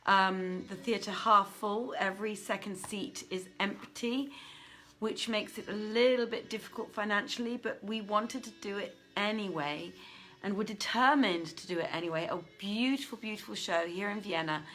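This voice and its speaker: A woman's voice: mid-range voice, normal speed, a bit bright. A woman chatting casually and effusively as the host of an online story reading, speaking as a theatre director. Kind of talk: casual livestream chat.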